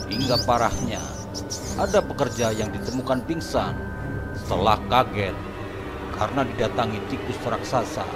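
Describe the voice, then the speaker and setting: A man narrating a scripted horror cartoon story in a steady, ominous tone. His pitch is middling and lively. Music plays clearly behind him.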